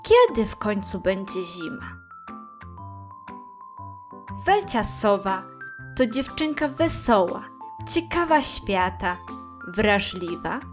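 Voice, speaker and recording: Slow, gentle woman storyteller. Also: medium pitch. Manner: calm, gentle, careful enunciation. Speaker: children's story narrator. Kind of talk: scripted storytelling for preschoolers.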